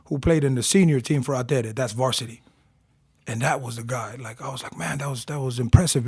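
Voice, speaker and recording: Interview guest: husky man in his forties from Tonga, animated storyteller, dry close mic. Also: mid-range voice, no room sound. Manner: animated storyteller, acts out quotes.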